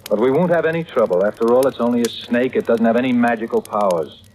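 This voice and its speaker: A man's voice: medium pitch, fast, darkish tone, a little hiss or hum, natural voice, low-quality recording. An actor playing an earnest, determined man in a 1940s radio thriller, speaking in a dramatic manner. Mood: insistent, polite. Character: earnest, determined man haunted by growing dread. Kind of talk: 1940s radio acting with first-person narration. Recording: vintage radio drama, musical underscore.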